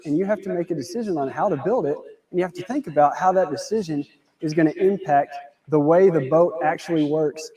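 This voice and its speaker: A man's voice: fairly high pitch, darkish tone, poor audio. A man from West Texas with a West Texas accent, giving a prepared stage talk in a folksy storytelling manner with dry jokes. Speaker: student speaker. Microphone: handheld or stand mic on stage.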